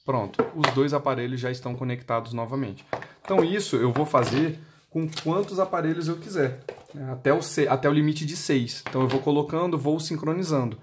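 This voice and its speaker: A man's voice: fairly high pitch, a little fast, noisy background. Brazilian man giving a step-by-step tutorial. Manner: explanatory, asks and answers own questions. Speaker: product demonstrator for motorcycle intercom seller.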